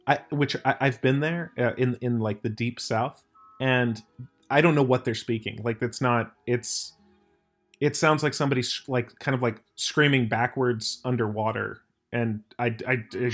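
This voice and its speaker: An American man hosting a casual chat, rambling with lots of restarts and filler words. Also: mid-range voice. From United States.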